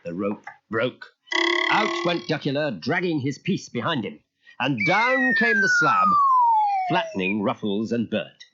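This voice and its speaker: A man's voice: mid-range voice, huge pitch swings, measured pace, very noisy. A man reading a scripted children's story as a dramatic narrator. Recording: dull lo-fi cassette transfer.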